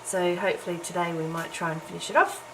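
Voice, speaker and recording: A middle-aged woman with a low, croaky just-woken voice, chatting as she works on a craft project. She talks casually and self-deprecatingly, scattering ums and soft laughs. It is recorded on a camera's own mic at home, with clear background hiss. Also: fairly even intonation, quick pace. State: croaky morning voice. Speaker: crafting vlogger. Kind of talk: casual chat while crafting. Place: home, early morning. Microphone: camera's built-in mic.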